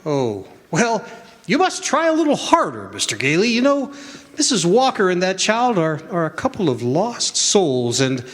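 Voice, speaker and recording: A man playing a kindly, jolly old Santa Claus in a stage radio drama, coaxing and fond with halting speech. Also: sits high, huge pitch swings. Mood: warm, probing. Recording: echoey hall stage recording.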